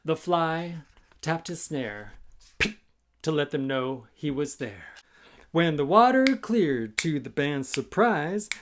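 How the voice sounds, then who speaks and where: A man who is a children's author reading a rhyming story aloud with an expressive, chanting, sing-song delivery. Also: fairly high pitch. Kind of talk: expressive musical storytime narration in rhyme.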